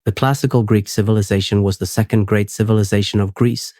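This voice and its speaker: A man hosting a sleep podcast, reading a script in a steady, unhurried, soothing way. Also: lowish voice, no room sound, clean studio sound.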